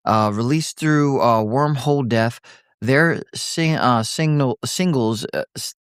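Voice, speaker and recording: A man's voice: medium pitch, takes it slowly, smooth, no echo, clean studio sound. A man hosting a radio interview show, loose and joking, often stumbling over his words.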